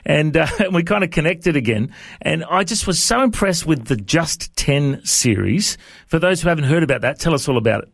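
An Australian man in his forties hosts an interview in a conversational manner. His voice sits a little high for a man, and the sound is dry, with very little room in it. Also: lively intonation, moderate pace, creaky, husky, dry recording. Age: 40s. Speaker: radio interview host.

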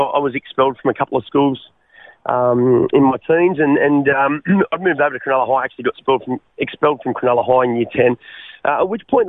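A man's voice: medium pitch, dry recording. A man in his forties with an Australian accent, a guest telling his life story over the phone in a rambling way, full of fillers and restarts. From Western Sydney, Australia. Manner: rambling, many fillers and restarts, calls the host mate. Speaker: guest sharing his testimony. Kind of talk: casual interview over the phone. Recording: tinny phone line, dry.

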